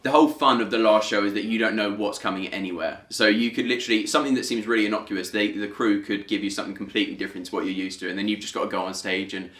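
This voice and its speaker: A man's voice: fairly low pitch, fairly even intonation, rapid-fire, hardly any pauses, a bit bright. A British man chatting casually and jokily as he comments on a stage show he performed in. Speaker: amateur pantomime cast member. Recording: roomy echo.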